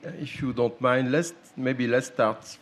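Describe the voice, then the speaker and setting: A man interviewing an actor on stage, halting and repeating words as he searches for phrasing. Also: mid-range voice, fairly even intonation, a little hiss or hum.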